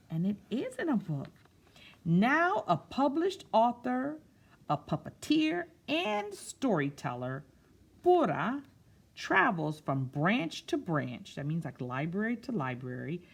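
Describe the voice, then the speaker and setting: A woman's voice: on the high side, big pitch swings, slow pace, creaky, breathy edge. A woman reading a picture book aloud to children, drawing out phrases expressively and breaking off into simple, casual explanations. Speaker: children's storyteller.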